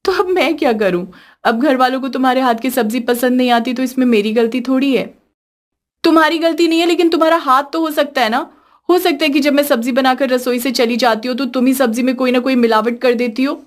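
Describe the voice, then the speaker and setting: A woman's voice: high-pitched, a little fast, lightly acted. A woman voicing a complaining young daughter-in-law in a cartoon story, whiny and argumentative. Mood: bickering. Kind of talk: Hindi cartoon story acting.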